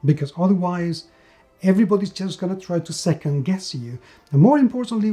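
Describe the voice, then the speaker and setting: Mellow, dark-voiced man reviewing emphatically. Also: fairly high pitch. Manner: emphatic, with repeated words and stressed pauses. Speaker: host and reviewer of a tabletop game review show. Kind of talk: opinionated review talk to camera.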